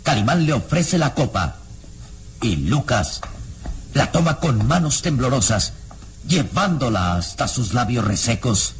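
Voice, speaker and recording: A man narrating a vintage radio serial in a solemn, deliberate, theatrical style. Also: medium pitch, sing-song. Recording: old radio serial with loud music bed.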